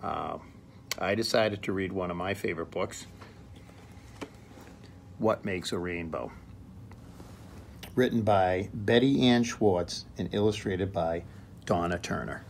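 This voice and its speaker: A man's voice: low-pitched, slow pace, long pauses. A man in his forties, a police officer, reading a picture book aloud for young children in simple words with stressed key words, recorded on a phone. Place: small tiled indoor room. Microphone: phone at arm's length. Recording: clear background room noise, phone recording.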